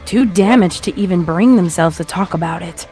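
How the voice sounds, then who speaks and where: Man narrating dark fiction over music. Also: sits high, normal speed. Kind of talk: scripted narration of a dark horror story.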